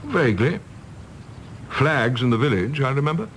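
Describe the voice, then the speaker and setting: A man playing an earnest, prickly, idealistic middle-aged British gentleman in a radio drama, speaking formally and eloquently. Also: mid-range voice, sing-song, moderate pace, slight background noise. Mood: reflective.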